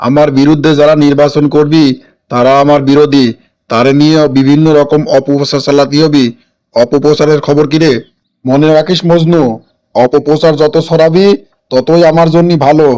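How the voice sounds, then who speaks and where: A domineering cartoon political boss, voiced by a man, speaks in a somewhat high male voice. He is scheming and impatient, issuing commands in a Kushtia accent from Bangladesh. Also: mellow, natural voice. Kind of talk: animated cartoon dialogue.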